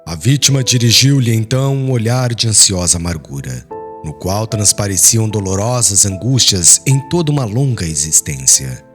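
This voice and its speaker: A man reading an audiobook as dramatic literary narration, in a solemn, formal and expressive manner. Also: lowish voice, moderate pace. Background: background music.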